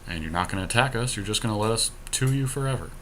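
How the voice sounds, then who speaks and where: A man's voice: fairly low pitch, animated, racing, fairly bright tone, slight background noise. A man co-hosting a podcast, giving casual play-by-play of a card game with dry, teasing banter. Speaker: podcast co-host.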